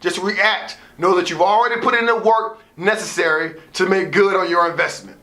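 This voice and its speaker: A man's voice: high-pitched, steady tone, normal speed, pushed and bright, slight background noise. An American man telling a personal story like a motivational speaker, theatrical, with emphatic repetition and acted-out dialogue. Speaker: motivational storyteller and student athlete advisor.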